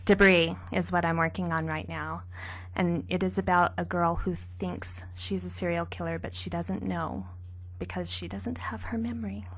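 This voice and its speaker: Soft-spoken young woman author. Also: lowish voice, fairly even intonation, normal speed. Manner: soft-spoken, light laughs. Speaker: author guest. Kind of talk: radio interview.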